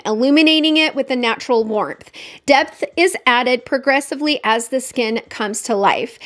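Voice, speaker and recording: A woman's voice: on the high side, fairly bright tone. A young woman reviewing makeup in a casual, chatty way, her voice nasal and congested from a cold. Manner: chatty, upbeat, talks straight to viewers. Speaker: beauty vlogger.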